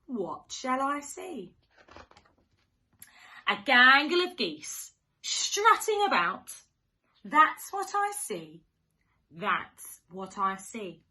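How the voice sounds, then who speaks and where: A woman with a fairly high, very lively voice reads a children's story in a sing-song rhythm. She takes it very slowly, putting questions to her young listeners with dramatic gasps and hushed moments. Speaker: primary school teacher reading a picture book to children.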